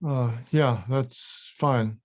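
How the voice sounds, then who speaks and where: A man's voice: medium pitch, fairly even intonation, very slow, darkish tone. An actor at a table read playing a wry, wary older male actor with a dry, hesitant delivery. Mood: uneasy.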